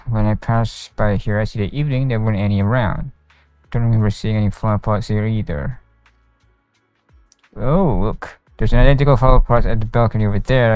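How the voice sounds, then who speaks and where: A man's voice: lowish voice, darkish tone, smooth, no echo. A man streaming a video game, casually commenting and reading dialogue aloud into a close mic with game music behind.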